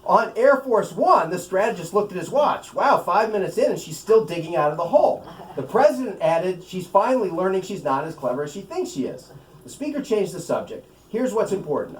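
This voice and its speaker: An American man, an author reading his own novel to a live gathering with off-script asides, in an animated, wry way. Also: high voice, a little fast. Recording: echoey room, distant mic, faint noise.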